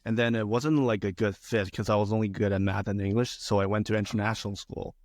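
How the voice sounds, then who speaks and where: A man's voice: on the low side, flat delivery, fast talker, darkish tone, dry recording. A young man from China, a theater student, talking casually as an interview guest with many fillers and restarts. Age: young adult.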